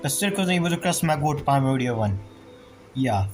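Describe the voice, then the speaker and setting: A man giving casual, rambling gameplay commentary as he reacts to a story, speaking informally and haltingly. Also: medium pitch, lively intonation. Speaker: gamer reacting to a story quest. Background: game music.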